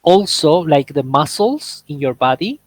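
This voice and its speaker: A man's voice: on the high side, animated, a little slow. A young man whose first language is Spanish, leading a casual English conversation workshop and eagerly explaining his points with everyday examples. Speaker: workshop leader.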